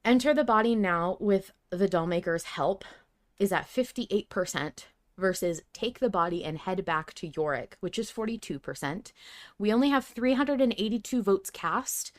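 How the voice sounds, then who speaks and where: A woman co-hosts a casual fan discussion podcast. Her voice sits at a middle pitch, and she speaks in an animated, emphatic way with some offhand swearing. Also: normal speed. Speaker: podcast co-host.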